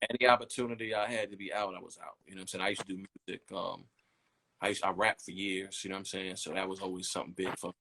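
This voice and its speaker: Chill guy in his 30s, rambly, gravelly voice, kinda low. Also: little pitch movement, quick pace, bright, forceful tone, low-quality recording. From United States. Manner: easygoing, rambling, leans on "you know what I'm saying". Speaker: interview guest, clothing brand designer. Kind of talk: relaxed conversational interview.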